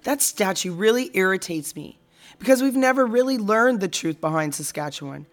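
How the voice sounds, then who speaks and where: A woman's voice: medium pitch, animated, normal speed, close to an everyday voice. A woman acting in an audio drama as an opinionated, plainspoken friend in casual conversation. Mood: thoughtful, irritated. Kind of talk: naturalistic audio drama dialogue.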